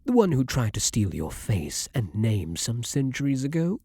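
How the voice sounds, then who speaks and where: A man playing a centuries-old vampire king, haughty yet secretly romantic, speaking in formal, archaic phrasing with dramatic pauses in an intimate ASMR roleplay. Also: medium pitch, big pitch swings, mellow, breathy edge, no echo, studio quality.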